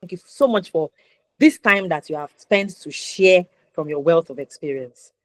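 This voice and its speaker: A woman's voice: lowish voice, lively intonation, moderate pace, no echo. A woman with a Nigerian accent hosting a conversational interview show, encouraging and emphatic, repeating points for stress. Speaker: talk show host.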